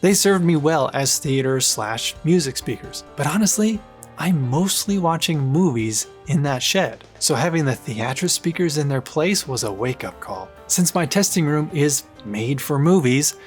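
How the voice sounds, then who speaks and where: A man's voice: fairly high pitch, lively intonation, a little slow. Playful male reviewer over background music. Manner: playful, colloquial asides. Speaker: audio gear reviewer. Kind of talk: scripted product review narration. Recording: music bed under the voice.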